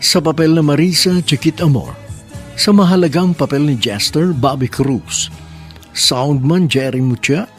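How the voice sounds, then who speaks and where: A man's voice: on the high side, measured pace, faint music behind. A man hosting and narrating a radio romance drama in an earnest, sentimental storytelling manner.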